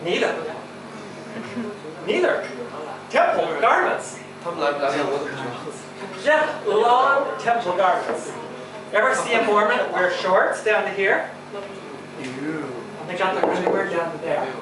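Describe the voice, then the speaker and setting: A man's voice: high voice, expressive, takes it slowly, long pauses. A man performing a stand-up comedy set in a small bar, chatting with the audience and asking them questions. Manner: chatty crowd work, asks the audience. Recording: roomy bar, from far off, crowd. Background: audience laughing and chatting.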